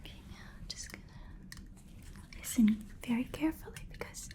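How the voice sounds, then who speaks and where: A woman whispering softly and breathily in an ASMR roleplay as a playful, fussy appraiser of magical items. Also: high voice, lively intonation, fairly quick. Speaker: ASMR performer.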